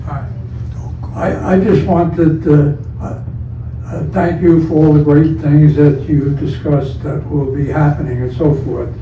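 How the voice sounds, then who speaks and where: A man's voice: on the high side, steady tone, measured pace, a little hiss or hum. A man resident with a wry, teasing manner. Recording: dull, echoey, distant.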